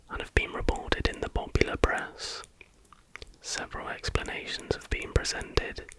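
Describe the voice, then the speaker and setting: A man whispers softly and without hurry in an informal ASMR narration, as the host of the video. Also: rough recording.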